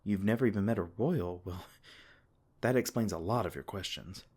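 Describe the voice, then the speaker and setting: A man playing a gentle, protective male fae king in a comforting ASMR roleplay, soft-spoken and reassuring. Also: lowish voice, normal speed, slightly rough.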